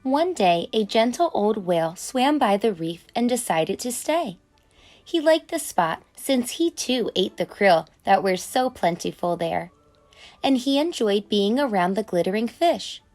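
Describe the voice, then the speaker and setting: A woman reading a children's picture book aloud as an expressive storyteller. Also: mid-range voice, unhurried. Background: music.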